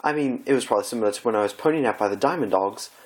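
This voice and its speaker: A man's voice: mid-range voice, rapid-fire, close to an everyday voice. A man voicing a dramatic, fussing, refined female pony from a cartoon fan story, with a gushing, theatrical manner. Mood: gushing concern. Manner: theatrical, gushing, prim wording.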